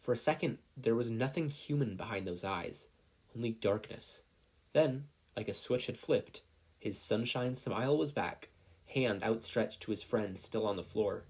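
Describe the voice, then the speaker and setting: Male story narrator, husky and fairly low, reading scripted fiction. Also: measured pace, darkish tone. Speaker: podfic narrator.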